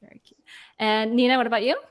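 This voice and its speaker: Higher-pitched woman moderating informally. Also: flat delivery, fast talker. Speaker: panel moderator. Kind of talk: casual hosting.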